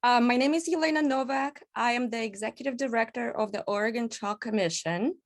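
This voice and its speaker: A woman from Kazakhstan giving a measured presentation at a public panel, with frequent "um" pauses. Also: on the high side. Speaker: executive director, invited speaker.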